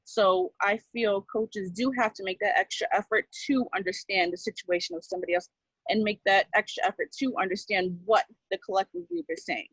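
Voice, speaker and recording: A woman's voice: mid-range voice, fairly even intonation, brisk, a bit bright, husky. A woman student athlete speaking earnestly and pleading at a town hall.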